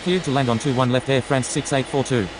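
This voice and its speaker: A man with a middle-pitched voice gives standard airline radio calls in scripted wording. Loud noise accompanies him. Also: fairly even intonation, fairly quick. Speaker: airline pilot on air traffic radio. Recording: loud noisy hiss.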